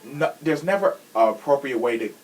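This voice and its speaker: A man's voice: mid-range voice, animated, moderate pace, low-quality recording. A man hosting a podcast in casual true crime chat, sassy and blunt, swearing freely. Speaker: podcast host.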